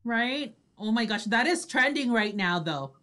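A woman's voice: fairly high pitch, lively intonation, unhurried, a bit bright. A woman hosting an online radio show, chatting casually and expressively in exclamations to her audience over a video call. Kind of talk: lively casual reaction chat.

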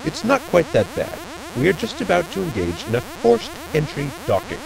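Male actor as a robotic sci-fi crewman, very creaky voice, wildly swooping pitch, formal, literal, unflappable, electronic music behind. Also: huge pitch swings, moderate pace, heavily acted, rough recording. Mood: calm, exacting. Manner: formal, clipped, exact wording. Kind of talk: sci-fi comedy audio drama acting.

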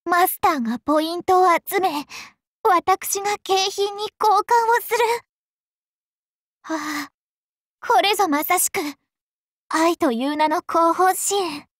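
A woman's voice: extremely high pitch, slow, pauses often, fairly bright tone, dry recording, clean studio sound, full character voice. A woman playing a young, sweet, clingy dragon princess in a mobile game, very polite and girlish with theatrical, coquettish delivery. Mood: coy, lovestruck. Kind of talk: Japanese mobile game character voice acting.

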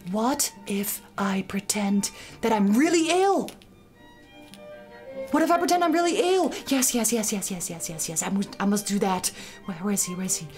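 A woman's voice: medium pitch, expressive, moderate pace, darkish tone, faint music behind, lightly acted. A woman voicing a vain, scheming young maiden in a comic puppet show, fretful, repetitive and melodramatic. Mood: scheming, frantic.

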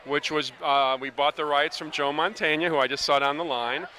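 A man's voice: on the high side, fairly even intonation, a little fast, pushed and bright, a little hiss or hum. An American man from Chicago giving a casual interview into a handheld microphone. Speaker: film producer interviewee.

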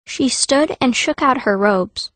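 A woman's voice: high-pitched, lively intonation, slow pace, a bit bright, no room sound, exaggerated voice. An actress voicing a fierce, blunt young girl mage who narrates an audiobook in the first person. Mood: narrating. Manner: blunt, youthful.

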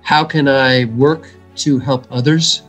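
A middle-aged man, an environmental educator, giving measured, earnest answers in a video call interview. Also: medium pitch, steady tone, a bit bright, soft background music. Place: home room.

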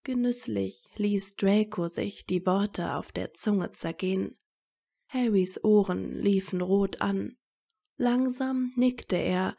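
Slow, mellow woman narrator with creaky edges. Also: medium pitch. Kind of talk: scripted audiobook narration.

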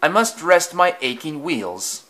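A man's voice: fairly high pitch, sing-song, unhurried, sharp tone, pitched above the performer's own voice, exaggerated voice. A man voicing a pompous, boastful big male steam engine who talks in a grand, haughty way.

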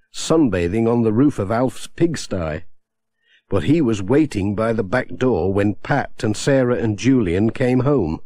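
A man narrating a children's storybook in a gentle, expressive way on a close studio mic. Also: fairly low pitch, no echo. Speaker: audiobook narrator.

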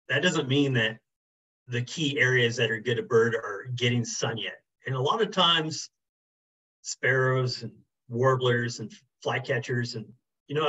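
Relaxed man on a muffled video call. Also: medium pitch, slightly rough. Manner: relaxed, many fillers like um and you know. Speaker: birding workshop presenter. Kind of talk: casual online workshop talk. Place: small room indoors. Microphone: video call webcam.

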